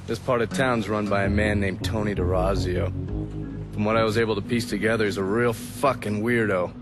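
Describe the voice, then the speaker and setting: A lowish-voiced actor as a cynical informant in a crime game. He is wry and crude, laying things out matter-of-factly, with music clearly playing behind him. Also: fairly even intonation, fairly quick, lightly acted. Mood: wry briefing. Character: cynical, foul-mouthed male informant briefing an ally. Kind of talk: video game cutscene acting.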